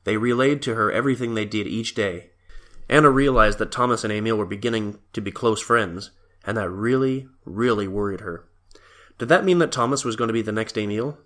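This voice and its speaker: Low-voiced male story narrator. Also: fairly quick, a bit bright.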